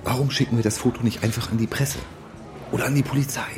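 An actor playing a nervous, doubtful call-centre worker turned amateur sleuth in a radio crime drama, colloquial and hesitant. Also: male voice, medium pitch, steady tone, fast, very noisy, lightly acted.

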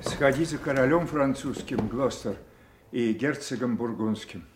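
Actor as slow-spoken old king, echoing hall. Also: mid-range voice, background noise, close to an everyday voice. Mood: regal, solemn. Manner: solemn, commanding verse delivery. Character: aged imperious king, weary, dividing his kingdom. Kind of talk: theatrical audio play acting. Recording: echoey stage hall, distant from mic.

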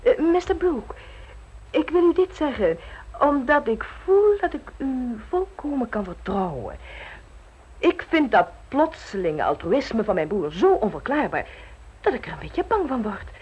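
A woman's voice: on the high side, very animated, a little slow, darkish tone, slightly rough, muffled recording, heavily acted. An actress playing a shrewd aristocratic lady hosting tea in a radio play, arch, gossipy and probing.

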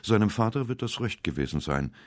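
A man narrating a scripted literary radio essay with measured, deliberate phrasing. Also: lowish voice, expressive, darkish tone, dry recording, clean studio sound. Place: dry radio studio.

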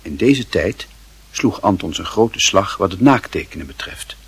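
A man's voice: sits low, lively intonation, measured pace, husky. A man narrating a radio play as a scripted story, with wry, theatrical emphasis.

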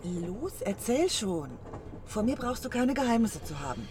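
An actress playing a teasing, candid wife with a coaxing, playful way of talking in radio drama dialogue. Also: female voice, a little high, big pitch swings, unhurried, noisy background.

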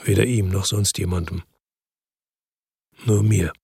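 An actor playing a world-weary, brooding middle-aged man who narrates a radio crime drama in terse phrases, his voice husky and gravelly. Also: low-pitched, fairly even intonation, measured pace, a little mellow, no room sound, clean studio sound, heavily acted. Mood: bitter, shaken.

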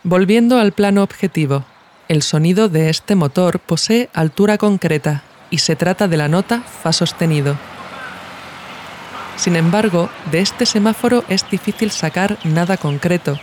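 A woman's voice: lowish voice, moderate pace, a little mellow, a little hiss or hum. A woman from narrating a scripted documentary podcast as its host.

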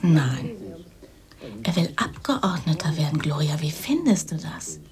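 A woman's voice: deep voice, expressive, a little slow, gravelly, a crowd in the background, heavily acted. An actress playing a naive, dreamy young woman in a radio drama, speaking in an earnest, artless and insistent way. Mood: shy, hushed. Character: naive, dreamy, poor young woman, eager and literal-minded. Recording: studio radio drama with background ambience.

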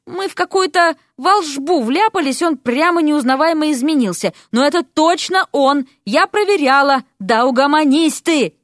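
Woman voicing a sharp-tongued, high-pitched bossy young frog. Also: lively intonation, normal speed, few pauses, dry recording, clean studio sound. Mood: bossy, irritated. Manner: scolding, sarcastic, blunt.

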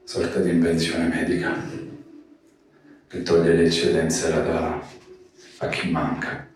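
A man giving a slow literary reading of poetic prose. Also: extremely low pitch, completely flat delivery. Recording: roomy echoing space, distant mic, faint noise.

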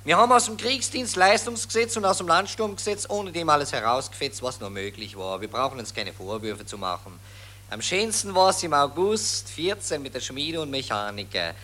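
Smug Viennese officer, bright and swooping. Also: male voice, a little high, lively intonation, moderate pace. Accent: Viennese Austrian. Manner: smug, colloquial, anecdotal. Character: callous boastful Austrian army officer in wartime. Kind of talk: 1940s German radio play acting. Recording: vintage 1940s radio recording.

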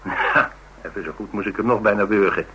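A rugged, blunt man speaks in a low, forceful voice. He tells his story with dry humour in a colloquial radio play manner, over some background noise. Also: brisk, poor audio. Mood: grim relish. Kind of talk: Dutch radio drama dialogue, conversational storytelling.

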